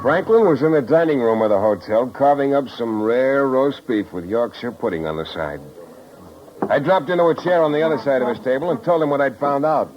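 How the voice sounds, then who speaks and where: A man's voice: mid-range voice, expressive, fairly quick, natural voice. Man acting a wry hard-boiled radio private eye. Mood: wry, needling. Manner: wry, sardonic. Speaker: lead actor and first-person narrator. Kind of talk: 1950s radio detective drama acting. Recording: muffled old radio recording with music.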